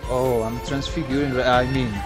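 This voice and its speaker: A man's voice: mid-range voice, flat delivery, normal speed. A young man giving casual, sweary reaction commentary at home as a musician, full of exclamations. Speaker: musician reacting to game trailers. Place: small room at home. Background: game music.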